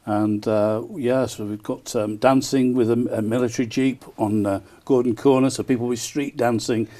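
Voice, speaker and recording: An enthusiastic man promoting an event as a broadcast interview guest, often pausing on small hesitations. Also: mid-range voice, fairly even intonation, warm tone. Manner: enthusiastic, frequent hesitant ers. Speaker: town crier and festival promoter, interview guest.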